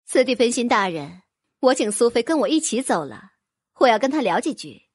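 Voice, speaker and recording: A woman's voice: on the high side, very animated, a little fast, slightly rough, no echo, clean studio sound. A woman voicing a fussy, fawning rich noblewoman who gushes with exclamations. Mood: fawning, gushing. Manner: gushing, exclamatory, wheedling.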